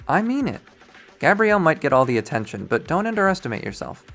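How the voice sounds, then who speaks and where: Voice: mid-range voice, very animated, fast, a bit bright, faint music behind, voice pushed up. A narrator voicing an awkward, lovestruck teenage boy who talks earnestly, in a visual novel.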